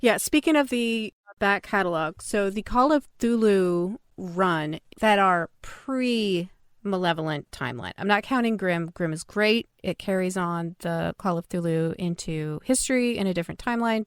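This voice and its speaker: A woman guest talks casually on a fan podcast in a clear, smooth voice pitched a little low. She is precise about details. The sound is dry and close. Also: dry recording.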